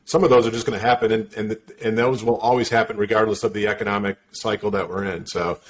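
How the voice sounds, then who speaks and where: A man's voice: fairly low pitch, flat delivery, quick pace, a little hiss or hum. A man hosting a podcast, with a casual, agreeable manner and short affirmations. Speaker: podcast host. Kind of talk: podcast interview.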